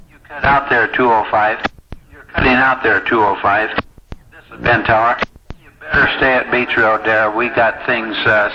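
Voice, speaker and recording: A man working as a railroad tower operator, speaking over two-way radio in dispatch talk, with hesitant phrasing and frequent pauses. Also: lowish voice. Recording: noisy lo-fi scanner recording of radio traffic. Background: radio static and noise.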